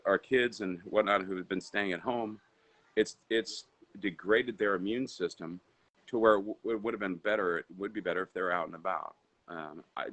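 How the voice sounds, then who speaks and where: A man's voice: on the low side, a bit bright. A man who grew up in Indonesia, with Indonesian as his first language, talking as a guest entrepreneur in a casual live interview over a video call. He rambles, with many fillers and restarts.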